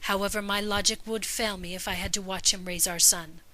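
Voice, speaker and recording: A woman voicing a cool, blunt alien woman in an audio story, logical and disdainful, with flat, formal delivery. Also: medium pitch, brisk, natural voice.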